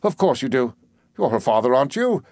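A man voicing an imperious, excitable professor in a play reading, declamatory and overbearing. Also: mid-range voice, brisk, dry recording, studio quality, voice pushed up. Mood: brisk, mocking. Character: imperious, excitable male professor, scornful and bossy.

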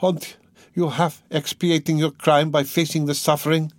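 A man's voice: medium pitch, moderate pace, a little mellow, no echo, voice pushed up, lightly acted. A man voicing an anxious, devoted young woman, an earnest and distressed sister, in a pleading, emotional way for an audiobook.

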